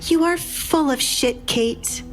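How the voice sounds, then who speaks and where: A woman's voice: high voice, slow pace, soft background music, voice pushed up. A woman voicing a wronged, defiant young noblewoman in an audio drama, speaking coldly through gritted teeth. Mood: contemptuous anger.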